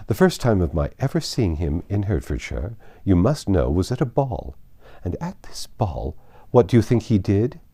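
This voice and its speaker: A man voicing a witty, playful young gentlewoman in a storybook reading, with an arch, articulate manner. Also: low-pitched, sing-song, normal speed, exaggerated voice. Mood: teasing. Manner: arch, teasing, formally articulate.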